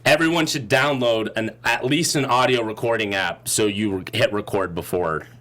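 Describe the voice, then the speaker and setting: A man's voice: on the low side, normal speed, bright, forceful tone. A man co-hosting a political panel discussion, talking in a heated, passionate way and raising his voice. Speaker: co-host.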